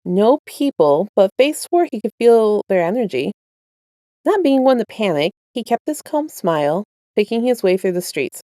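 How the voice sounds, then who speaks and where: A woman's voice: lowish voice, mellow, no echo, clean studio sound. A woman narrating her own written fiction as an amateur audio story reader. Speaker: podfic writer and narrator. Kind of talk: casual intro and scripted story narration.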